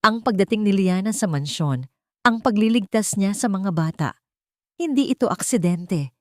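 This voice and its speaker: A woman reading a scripted love drama as a narrator, with emotive, deliberate phrasing. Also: mid-range voice, lively intonation, no room sound, studio quality. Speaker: story narrator.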